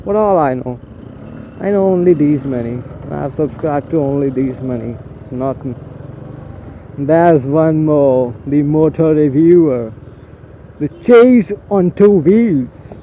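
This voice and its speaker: A man's voice: fairly high pitch, takes it slowly, dark tone. A young man, a motovlogger chatting casually and rambling while riding a motorcycle through city streets. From India. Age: young adult. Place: on a motorcycle on city roads outdoors. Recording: muffled helmet mic with traffic noise.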